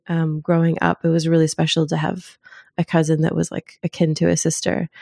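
A middle-aged woman telling personal stories in casual conversation as an interview guest, with a thoughtful, expressive and informal way of talking. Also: fairly low pitch, creaky, dry recording, studio quality. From born in Zimbabwe.

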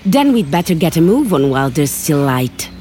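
Driven woman scientist, lively pitch. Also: moderate pace, a little hiss or hum. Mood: urgent. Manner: urgent, insistent. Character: determined, driven woman scientist, an explorer chasing a discovery. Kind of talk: immersive audio drama acting.

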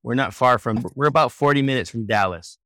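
A man's voice: medium pitch, fairly quick, no room sound, studio quality. A man in his forties from Hawaii, a children's book author and illustrator, talking easily as a guest in an interview and laughing now and then. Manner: relaxed, easygoing, laughs often, restarts sentences. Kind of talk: casual interview conversation.

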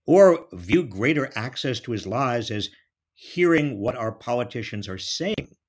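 A man with a husky voice delivering scripted political commentary straight to listeners, in emphatic, deliberate phrasing. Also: mid-range voice.

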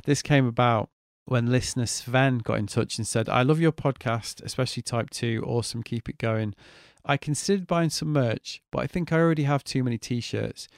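A man hosts his podcast alone, talking in a loose, candid way and swearing often. His voice sits at a middle pitch and sounds dry and close to the mic. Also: gravelly, no room sound. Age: 40s. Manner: chatty, candid, swears freely. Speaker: podcast host. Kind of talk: casual solo podcast monologue.

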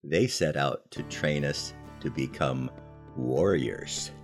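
An older American man telling personal stories in a conversational, folksy way, leaning deliberately on key phrases, as a podcast host. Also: a bass voice, faint music behind. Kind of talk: conversational storytelling with moral lessons.

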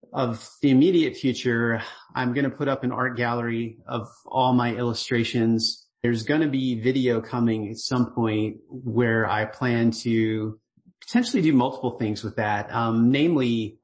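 Middle-aged guy rambling through a casual voicemail update, lots of ums, earnest, thankful. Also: mid-range voice. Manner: relaxed and rambling, with many fillers and restarts; grateful and earnest. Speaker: newsletter writer and illustrator giving an update.

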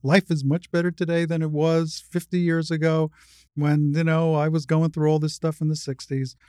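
A woman's voice: deep voice, little pitch movement, moderate pace, warm tone, clear, resonant tone, dry recording, studio quality. A woman in her sixties speaking as an interview guest, explaining things step by step in an emphatic, didactic conversational way. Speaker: interview guest, retired surgeon and advocate.

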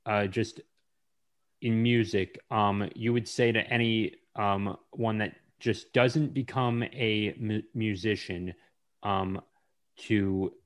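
Man, podcast host, low voice, halting, lots of filler words. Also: fairly even intonation. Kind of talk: casual interview chat.

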